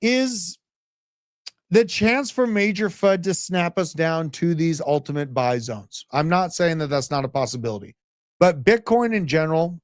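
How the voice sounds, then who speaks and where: A man in his thirties hosting a livestream, giving brash, animated market commentary and chatting with live viewers. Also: on the high side, unhurried, no room sound, clean studio sound. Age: 30s. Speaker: crypto livestream host.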